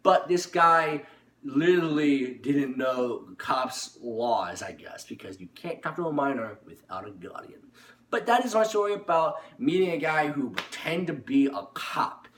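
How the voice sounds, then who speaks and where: A man's voice: on the high side, expressive, a little slow, a bit bright. A man telling a personal story informally to his viewers, rambling and insistent, often repeating himself and restarting phrases. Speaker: storytelling YouTuber. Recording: echoey room, distant microphone.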